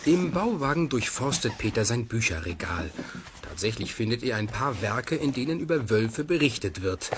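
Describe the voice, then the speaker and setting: A man playing the narrator of a children's radio play, telling the story in a measured, inquisitive way. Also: medium pitch, very animated, natural voice. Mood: measured, intrigued. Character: male storyteller of a children's tale. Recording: noisy cassette transfer of radio play.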